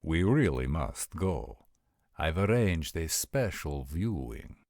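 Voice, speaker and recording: Guy as a polite vampire prince, low voice, formal n guarded, dry close audio roleplay. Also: very animated, takes it slowly, gravelly, a little mellow, no room sound, heavily acted. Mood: courteous, earnest. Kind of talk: roleplay audio drama acting.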